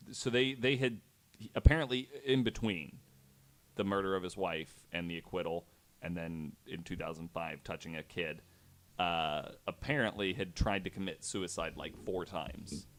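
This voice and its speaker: A man with a fairly low voice tells a story as a podcast panelist. His delivery is casual and conversational, with hesitant, halting phrasing as he works from notes. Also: steady tone.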